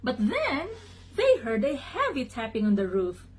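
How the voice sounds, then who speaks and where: A woman reading a picture book aloud to children in an expressive, dramatic way, pausing to chat to young listeners. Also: sits high, a little hiss or hum. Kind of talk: animated children's read-aloud.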